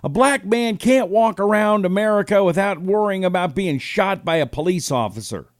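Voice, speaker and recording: A man's voice: high voice, animated, normal speed, no room sound, clean studio sound, voice pushed up. A man retelling a conversation as a heated, preachy young Romanian man, insistent and accusatory. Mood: indignant, insistent.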